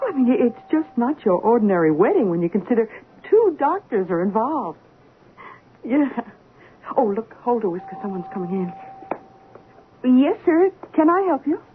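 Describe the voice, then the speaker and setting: An actress playing a chatty, polite hospital nurse in an old-time radio drama. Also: female voice, a little high, sing-song, normal speed. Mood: chatty, excited. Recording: narrow-band noisy old radio broadcast.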